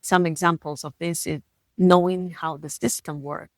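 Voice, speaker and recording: A woman with an Argentine Spanish accent talks in a thoughtful, earnest way, leaning slowly on the words that matter to her. Her voice sits fairly low. The recording is close and dry, with no room sound around her. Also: steady tone, no room sound. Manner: thoughtful, earnest, with slow deliberate stresses. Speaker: podcast host. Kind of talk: reflective solo podcast talk.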